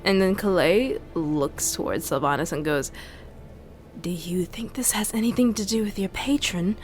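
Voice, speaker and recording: A woman playing a tabletop fantasy game, roleplaying casually in a hesitant, soft-spoken way. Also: lowish voice, normal speed, soft background music.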